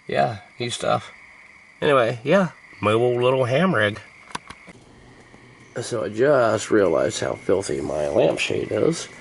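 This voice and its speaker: A man's voice: lowish voice, vocal fry, a bit bright, a little hiss or hum, rough recording. A man from the United States chatting casually as he vlogs about his hobby, in a playful, slangy and mildly profane way. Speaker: hobbyist vlogger. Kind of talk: rambling casual chat to camera.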